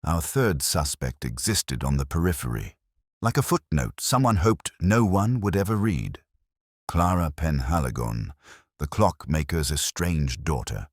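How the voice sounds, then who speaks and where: Very deep, brooding detective narrator played by a man. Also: animated, no echo, clean studio sound, exaggerated voice. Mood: dry, suspicious. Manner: measured, literary, ruminative. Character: brooding, erudite middle-aged male police inspector. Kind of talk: scripted first-person detective narration, audio drama.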